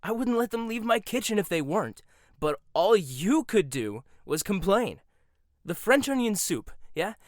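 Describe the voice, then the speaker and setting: A man's voice: high-pitched, big pitch swings, a little slow, no echo, studio quality. A man playing a proud, combative young head chef in an audio roleplay, talking in a sarcastic, confrontational way. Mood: defensive. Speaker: voice actor.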